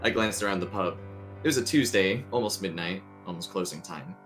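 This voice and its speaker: A man's voice: deep voice, brisk, fairly bright tone. A man reading a creepy story aloud as its narrator, with music playing underneath. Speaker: story reader.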